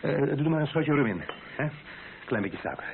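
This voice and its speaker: A good-humoured, proud husband in a radio drama, voice a little high for a man. He talks in a wry, easygoing, natural way. The sound is thin and telephone-like with clear background noise. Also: expressive, brisk. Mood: wry, teasing. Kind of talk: naturalistic radio play dialogue. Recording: tinny, noisy old radio play recording.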